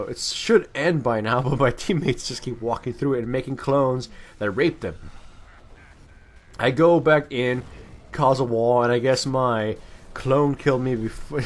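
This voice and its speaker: A man giving casual, off-the-cuff gameplay commentary, rambling and correcting himself in crude, slangy language. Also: mid-range voice. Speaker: gaming video commentator.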